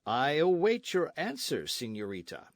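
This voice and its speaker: A man's voice: on the high side, huge pitch swings, a little slow, no echo, clean studio sound, heavily acted. A man voicing an arrogant Spanish colonial army captain in a storybook, pompous, sneering and insistent. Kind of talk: storybook narration with character voices.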